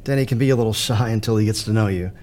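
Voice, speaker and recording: An actor playing a composed male psychiatrist in an audio drama, speaking in a measured, reassuring and gentle way. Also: on the low side, a little hiss or hum, natural voice.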